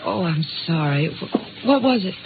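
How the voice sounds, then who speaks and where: Anxious receptionist on crackly old radio. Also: female voice, fairly low pitch, animated, measured pace. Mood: troubled. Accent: American. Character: anxious young female doctor's receptionist, distraught and hesitant. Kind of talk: 1950s American radio drama acting. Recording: tinny, noisy old radio recording.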